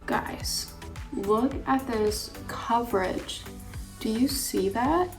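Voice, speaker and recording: A young woman with a raspy voice, chatting casually through a makeup tutorial with lots of filler words. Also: fairly high pitch, drawn-out words, faint music behind. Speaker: beauty vlogger.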